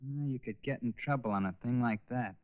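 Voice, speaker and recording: An actor in a 1940s radio drama playing a naive, hesitant, good-natured teenage factory worker in a boyish, slangy way. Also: male voice, mid-range voice, a little fast, no room sound, close to an everyday voice. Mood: hesitant, curious. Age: young adult. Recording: dull vintage radio recording.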